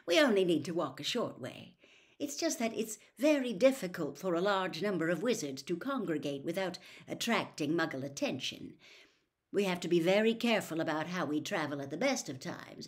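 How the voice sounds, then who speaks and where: A woman's voice: on the low side, sing-song, normal speed. A woman voicing a genial middle-aged wizard father who explains things in a chatty, matter-of-fact way for a storybook.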